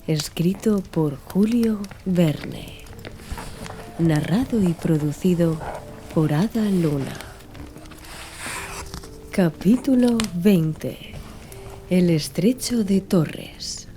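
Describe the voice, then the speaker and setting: A woman reading scripted literary narration as an audiobook narrator, in a measured, formal way. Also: lowish voice, lively intonation, warm tone. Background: music.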